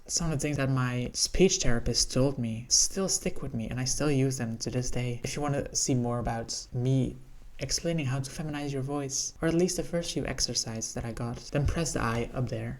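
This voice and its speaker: A woman with a lower-pitched voice, sharing personal reflections in an educational vlog, earnest and candid. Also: a little mellow. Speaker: vlogger.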